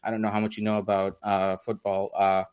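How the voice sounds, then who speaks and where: A man's voice: fairly low pitch, monotone, fairly quick, a little mellow, clear, resonant tone, lo-fi sound. A young man from India with a mixed Indian, British and American accent, chatting as an interview guest and rambling through fillers and restarts. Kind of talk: casual interview conversation.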